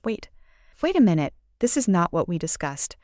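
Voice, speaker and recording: A woman voicing a sharp, worried young woman with an expressive, anxious way of speaking. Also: on the low side, moderate pace, no room sound, clean studio sound. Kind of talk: audio drama storytelling.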